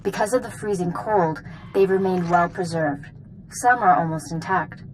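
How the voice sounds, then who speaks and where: Actress as earnest military knight, brisk briefing delivery, authoritative, lowish pitch, faint background noise. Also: female voice, lightly acted, low-quality recording. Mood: resolute, urgent. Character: resolute female Jedi Knight, military commander. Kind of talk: video game dialogue acting.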